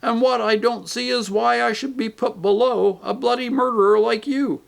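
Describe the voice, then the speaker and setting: A man's voice: extremely high pitch, steady tone, moderate pace, gravelly, voice pushed up, exaggerated voice. A man voicing a coarse, self-righteous male ghost who talks in blunt, indignant working-man speech.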